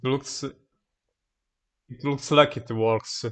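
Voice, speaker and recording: Halting male game streamer. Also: medium pitch, steady tone, fairly bright tone. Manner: halting, informal, rambling. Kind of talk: casual livestream chat over gameplay.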